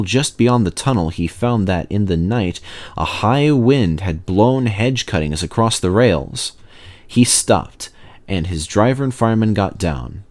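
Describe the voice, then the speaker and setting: Male storybook narrator: lowish voice, expressive scripted read, highly animated intonation. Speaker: narrator, reader of children's stories.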